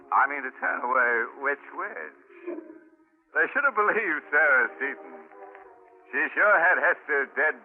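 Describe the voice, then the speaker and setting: Thin old radio sound over music, wry ghoulish host, slangy, drawling. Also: male voice, mid-range voice, narrow, tinny audio. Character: wry, folksy, ghoulishly punning horror show host. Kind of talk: 1940s radio horror hosting.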